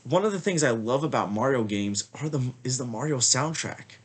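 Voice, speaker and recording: A man's voice: mid-range voice, animated, moderate pace, husky. A man with a slight cold, sniffling a little, giving a rambling, casual movie review with lots of filler words. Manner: rambling, many "like" and "I feel like" fillers. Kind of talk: casual spoken film review.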